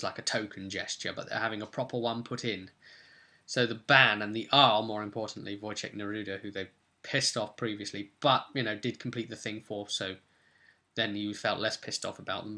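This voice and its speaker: A man's voice: mid-range voice, bright, forceful tone. A man, a game master hosting a vidcast, informally recapping his tabletop role-play campaign.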